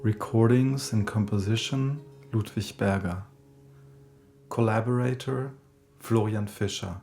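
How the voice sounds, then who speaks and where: A man with a fairly deep voice reads scripted credits at a slow pace. He goes through them like a list, pausing between items. Plucked string music plays clearly behind him. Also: warm tone. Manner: measured, list-like delivery with pauses. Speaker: announcer reading credits.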